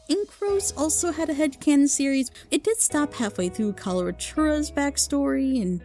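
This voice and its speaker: Female video host, higher voice, informal and enthusiastic recommendations, loosely delivered, faint music underneath. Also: mellow. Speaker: video host recommending fan audio dramas. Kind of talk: casual unscripted review.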